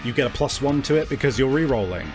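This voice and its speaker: Medium-pitched man running a tabletop game. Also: lively intonation, quick pace. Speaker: game master. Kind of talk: improvised tabletop game narration and rulings. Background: faint music.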